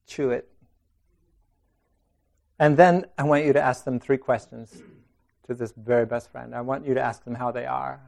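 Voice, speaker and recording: A man leading a group discussion in a meeting room. He talks in a relaxed way, laughing often and restarting his sentences. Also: medium pitch, normal speed, creaky, pauses often. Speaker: meditation group leader.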